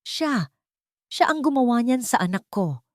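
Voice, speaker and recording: A woman's voice: a little high, big pitch swings, a little slow, no echo, clean studio sound, voice pushed up. A woman voicing a scheming wife who accuses with theatrical, feigned anguish in an audio drama. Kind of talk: melodramatic audio drama acting.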